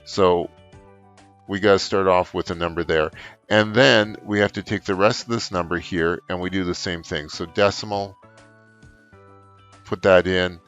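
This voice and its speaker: A middle-aged man explains software step by step as an unscripted tutorial, with frequent hesitant pauses. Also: low-pitched, steady tone, smooth, highs cut off, faint music behind. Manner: halting, frequent filled pauses. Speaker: trainer, tutorial presenter. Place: office room indoors.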